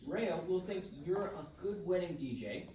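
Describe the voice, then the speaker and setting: A man's voice: high voice, animated, moderate pace, warm tone. A man at a screenplay table read playing a questioning, skeptical friend. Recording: very echoey, distant mic, faint noise.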